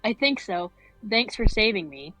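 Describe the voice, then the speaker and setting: A sharp-tongued young cartoon girl, read by a woman at a medium pitch. It is an amateur table read with faint music underneath. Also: sing-song, moderate pace, dry recording, low-quality recording. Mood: relieved.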